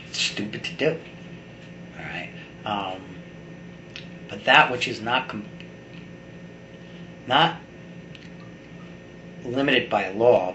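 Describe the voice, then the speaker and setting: A middle-aged man giving a rambling, blunt and opinionated personal monologue, like a video blogger talking to his camera. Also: fairly low pitch. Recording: echoey room with faint background noise.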